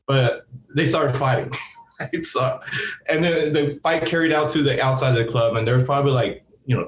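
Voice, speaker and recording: A man in his thirties from Seattle, a podcast guest telling a long story in a rambling, casual way with many restarts and fillers. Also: mid-range voice. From Seattle, USA. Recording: roomy echo.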